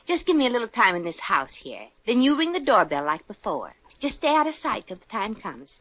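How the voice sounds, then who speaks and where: An actress playing a brash, wisecracking young working woman with quick sales patter in a 1950s radio comedy. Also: fairly high pitch, big pitch swings, a bit bright. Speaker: lead character. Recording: dull lo-fi old radio broadcast.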